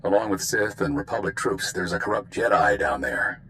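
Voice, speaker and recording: An actor playing a stern, world-weary spymaster who briefs agents in a clipped, dry, authoritative way in a video game. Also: male voice, low voice, lively intonation, normal speed, fairly bright tone, a little hiss or hum. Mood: stern, wry. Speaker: intelligence commander giving a mission briefing.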